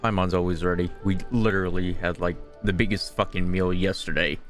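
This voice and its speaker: A man's voice: deep voice, fairly even intonation, fairly quick, soft background music. A man streaming a game, giving casual, slangy running commentary and reacting aloud with some swearing.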